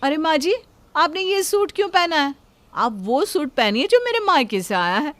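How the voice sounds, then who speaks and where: Boastful sister-in-law in a family drama, played by a woman: haughty, high, very animated, gloating delivery. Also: normal speed, over music. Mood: taunting. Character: boastful, taunting young Indian elder sister-in-law. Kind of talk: Hindi family moral-story acting.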